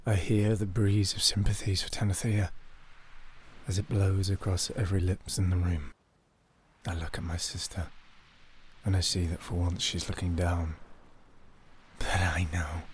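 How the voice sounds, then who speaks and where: Bitter disgraced lord over noisy ambience. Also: male voice, deep voice, flat delivery, a little slow, long pauses. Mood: bitter, brooding. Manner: theatrical, intense, sardonic. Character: clever, bitter, proud disgraced young lord. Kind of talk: high fantasy audio drama acting and narration.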